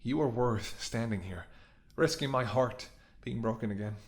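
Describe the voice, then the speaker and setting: A man's voice: medium pitch, normal speed, lightly acted. A man acting in a romantic audio roleplay as a devoted, stubborn ex-bodyguard, speaking earnestly and intimately with emotional intensity. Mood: impassioned, pleading. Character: devoted gruff ex-bodyguard, fiercely loving, stubborn and protective.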